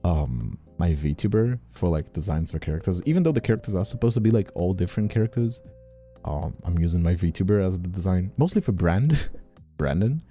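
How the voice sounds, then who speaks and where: A man chatting casually on a livestream, rambling and excitable, tending to talk over others. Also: low-pitched, fairly quick, faint music behind. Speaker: streamer and voice actor.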